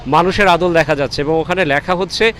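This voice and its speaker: A man with a Bangladeshi accent reporting on location outdoors in a measured, deliberate way with frequent pauses. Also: a little high, fairly bright tone. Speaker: reporter interviewing students. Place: outdoors on a university campus. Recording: noisy outdoor street with traffic.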